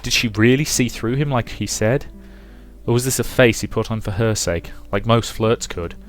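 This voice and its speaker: A man's voice: fairly low pitch, normal speed. A man reading a visual novel aloud as a let's player, mixing dramatic narration with casual commentary. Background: game music.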